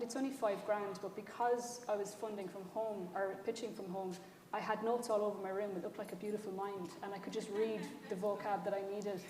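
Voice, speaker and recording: A woman with a Kerry accent speaks on a panel in a candid, self-deprecating way. Her voice is of middling pitch, heard from some distance in a reverberant hall with faint noise. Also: darkish tone. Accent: Kerry Irish. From County Kerry, Ireland. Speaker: panellist, film writer-director. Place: indoor conference hall. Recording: echoey hall, distant mic, background noise.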